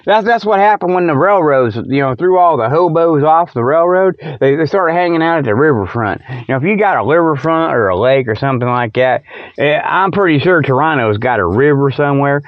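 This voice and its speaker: A man's voice: on the high side, animated, brisk, no echo, muffled recording. A man hosting a comic show, rambling off the cuff in a joking, folksy way with loose colloquial grammar and chuckles at himself. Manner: colloquial rural-style grammar such as ain't and them.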